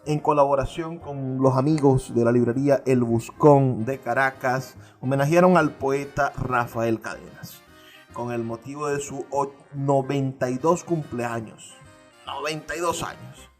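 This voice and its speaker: A middle-aged man hosts a radio program at an ordinary pitch, thinking aloud with pauses and restarts. His words carry an earnest, reflective weight, and soft instrumental music sits faintly behind him. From Venezuela. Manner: earnest, musing, with frequent pauses and repeated phrases. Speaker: radio host.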